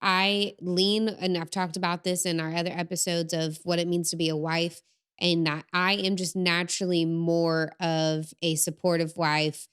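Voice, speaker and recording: Calm woman co-hosting a faith podcast. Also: fairly low pitch, normal speed, clean tone. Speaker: podcast co-host. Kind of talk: casual faith-based advice chat.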